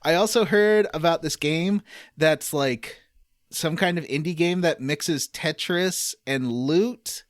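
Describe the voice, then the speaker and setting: A man's voice: fairly high pitch, slow, no room sound, clean studio sound. A man hosting a casual group podcast, telling stories in an enthusiastic, rambling way. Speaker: podcast host.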